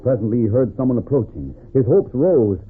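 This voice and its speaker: A man's voice: mid-range voice, lively intonation, a little fast, faint music behind. A man giving grave, suspenseful scripted narration in the formal style of a 1940s radio drama. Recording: narrow, tinny 1940s radio.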